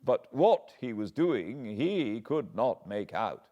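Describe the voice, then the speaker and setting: A man's voice: lowish voice, sing-song, slow pace, warm tone, lo-fi sound, pitched above the performer's own voice, heavily acted. An English man voicing an anxious, earnest older butler who pleads and frets. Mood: confiding. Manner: agitated, pleading.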